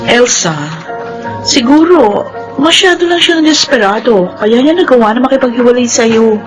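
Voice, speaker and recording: High-pitched, earnest Filipina actress. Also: female voice, moderate pace, loud music behind the voice, natural voice. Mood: sympathetic. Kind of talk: Filipino radio drama acting.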